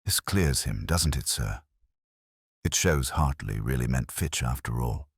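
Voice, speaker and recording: Man voicing an earnest, husky police sergeant. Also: a bass voice, expressive, measured pace, no echo, studio quality, pitched below the performer's own voice, full character voice. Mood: eager. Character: earnest, irritable male police sergeant, deferential subordinate.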